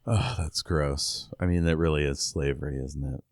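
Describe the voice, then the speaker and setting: A man's voice: rumbling low, fairly even intonation, normal speed, dry recording, studio quality. A man co-hosting a book podcast, recapping a novel in a casual, conversational way and posing questions as he goes. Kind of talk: casual chat with read-out recaps.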